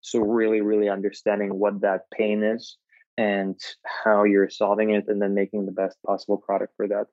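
A man, a startup founder answering interview questions in a halting way, with many filler sounds. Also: lowish voice, monotone, darkish tone, no echo, highs cut off. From Russia.

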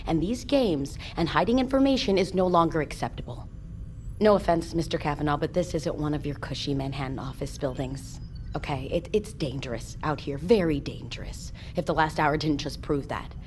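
An actress playing a blunt, outspoken ship's crew member in an audio drama. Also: female voice, deep voice, moderate pace, a little mellow, slight background noise, natural voice. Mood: angry, challenging. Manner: blunt, confrontational. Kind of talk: naturalistic audio drama acting.

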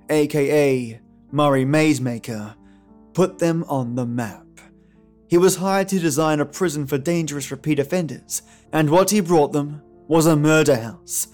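A man's voice: a little high, darkish tone. A man hosting a podcast, delivering scripted narration and commentary like a theatrical storyteller. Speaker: podcast host. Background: background music with guitar.

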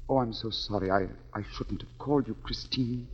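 An actor playing a shy, humble middle-aged violinist, hesitant and apologetic, in a 1940s radio drama. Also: male voice, mid-range voice, a little fast, slightly rough, lightly acted. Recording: dull old 1940s radio broadcast, long reverb.

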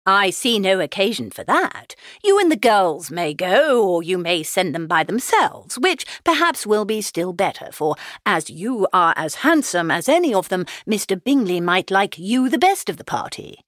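A woman voicing a middle-aged husband and father, dry and teasing, with a deadpan, unhurried, sardonic manner, in an audiobook. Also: medium pitch, no room sound. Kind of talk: dramatised audiobook character reading.

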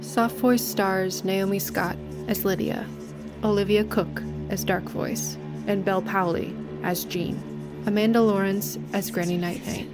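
A woman announcer reading scripted credits in an even, businesslike manner. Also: medium pitch, a little slow. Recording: music behind voice-over.

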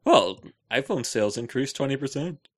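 A man co-hosting a casual podcast, rambling in a joking, animated, mock-indignant way. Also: mid-range voice, normal speed. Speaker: podcast co-host.

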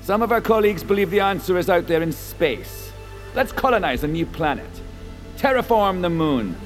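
A visionary scientist showing off his great creation in a sci-fi audio drama. His voice sits fairly high and moves widely, theatrical and sure of itself, with music underneath. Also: big pitch swings. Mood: grave, visionary. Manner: theatrical, deliberate, self-assured. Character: grandiose visionary male scientist host unveiling his underground biosphere.

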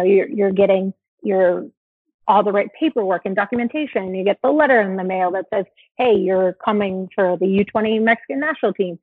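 A young adult woman from the United States, talking casually as an interview guest, relaxed and chatty with many fillers and restarts. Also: mid-range voice, husky, no echo. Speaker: interview guest, former soccer player. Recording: dry tinny phone-like line.

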